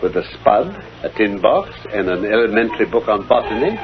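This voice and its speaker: Vintage radio drama, muffled: analytical sleuth, authoritative, precise, highly varied pitch. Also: male voice, big pitch swings, a little fast. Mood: breezy. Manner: precise, clipped, authoritative deduction. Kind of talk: old-time radio drama acting. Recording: dull vintage radio recording.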